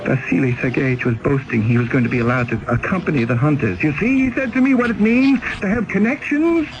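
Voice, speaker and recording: A man's voice: a little high, expressive, quick pace, close to an everyday voice. An actor in his twenties playing a young male convict who pleads and stammers in a 1970s radio drama. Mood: eager. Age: 20s. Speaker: convict in exile. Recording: dull old radio recording.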